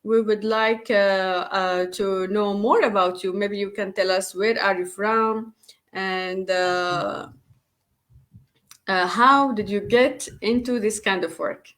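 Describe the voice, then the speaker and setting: A woman whose first language is Arabic, hosting an interview with enthusiasm and many halting fillers. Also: mid-range voice, fairly even intonation, fairly bright tone. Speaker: interview host.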